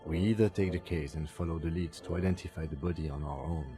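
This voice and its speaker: A calm, pragmatic video game detective, with a very deep and mellow voice. He talks in measured, formal sentences with dry matter-of-factness. Music plays underneath. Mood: matter-of-fact. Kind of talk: scripted video game dialogue.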